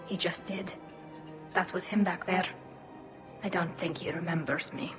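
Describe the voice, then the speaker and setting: An actress voices a young female alien refugee for a video game. She sounds gentle and earnest, telling things in a measured, reflective way with quiet resilience. Her pitch sits in the middle for a woman, and music plays behind her. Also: steady tone, lightly acted. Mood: reflective, forgiving.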